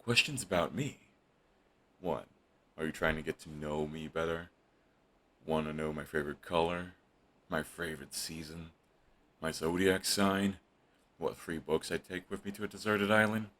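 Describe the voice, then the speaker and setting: A grumpy male supervillain, voiced by a man, with a deep, husky voice. He talks in a sarcastic, dismissive way in an audio roleplay drama. He sounds tired of his visitor. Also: normal speed, long pauses, bright, forceful tone. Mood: irritated. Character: snarky, grumpy male supervillain, middle-aged. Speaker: voice actor.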